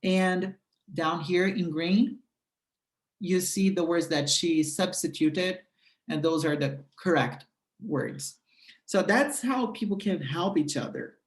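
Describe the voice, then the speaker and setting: Portuguese-native speaker explaining an app, roomy echo. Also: medium pitch. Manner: explanatory, halting with frequent "uh" fillers. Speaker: presenter demonstrating a language-exchange app. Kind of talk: screen walkthrough tutorial.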